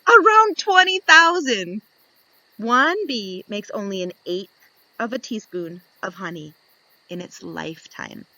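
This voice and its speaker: A woman reads a children's picture book aloud in a bright, forward voice at a middle pitch. She is expressive and instructive, posing questions to young listeners as a teacher would at story time. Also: measured pace, no room sound, rough recording.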